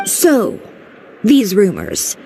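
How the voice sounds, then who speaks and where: An actress voicing a brisk, blunt, impatient young professional woman in a video game. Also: mid-range voice, huge pitch swings, gravelly, mellow, slight background noise, exaggerated voice. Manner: direct, curt, impatient.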